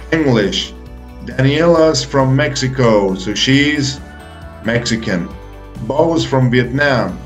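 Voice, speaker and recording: The encouraging young man on an online beginners' English class, walking pupils through each exercise bit by bit, soft music under his slow voice. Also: medium pitch. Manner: deliberate, short phrases with pauses, encouraging. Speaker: English teacher hosting a video lesson. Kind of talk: slow, step-by-step instructional talk for beginners.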